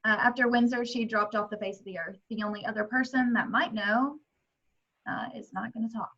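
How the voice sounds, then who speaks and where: A woman acts out a brash reporter at a home script reading. Her voice is on the high side with a bright, tense edge. She is blunt and sharp-tongued, and the sound is a little lo-fi. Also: steady tone, brisk. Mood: guarded, sardonic. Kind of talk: amateur table reading of a film script. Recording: dull, muffled home recording.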